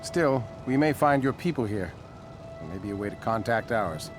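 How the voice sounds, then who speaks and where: Man as a smug little creature under loud music. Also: fairly low pitch, expressive, normal speed, natural voice. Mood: smug, composed. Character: smug, clever small male Asuran inventor creature. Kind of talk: video game cutscene acting.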